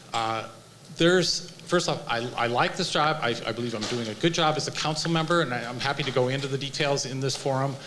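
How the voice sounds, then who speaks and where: Guy on council giving his pitch, lotta uhs, bright tone, faint noise behind. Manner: hesitant, many uhs and restarts. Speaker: incumbent city council member. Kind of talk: candidate forum speech. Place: senior community center.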